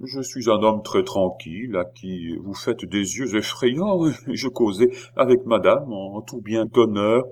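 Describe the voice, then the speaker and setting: Man playing an unruffled, ironic gentleman. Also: fairly low pitch, unhurried, few pauses. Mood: calm. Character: calm, impassive, mocking gentleman stranger.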